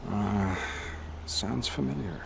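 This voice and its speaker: A man playing a shrewd, calculating court adviser in a video game, speaking in a deliberate, politic way. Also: on the low side, gravelly, noisy background, exaggerated voice. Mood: pondering. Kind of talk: video game cutscene acting. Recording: music behind the voice.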